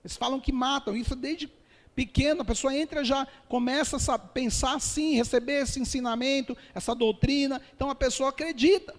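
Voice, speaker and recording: A man's voice: extremely high pitch, fairly even intonation, fairly quick. A man with a Brazilian Portuguese accent, a pastor giving an earnest testimony sermon and calling his listeners dear ones. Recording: long hall reverb.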